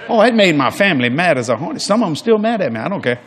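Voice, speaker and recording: A man's voice: on the high side, very animated, racing, fairly bright tone, slight background noise. An older man preaching a sermon on a large church stage before a congregation, folksy and jokey, acting out quoted people in different voices.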